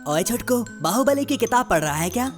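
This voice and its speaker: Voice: mid-range voice, sing-song, fairly quick, over music, lightly acted. A performer voicing a wisecracking cartoon sidekick who teases and comments in punning, filmi one-liners. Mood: teasing. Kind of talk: Hindi comedy cartoon voice acting.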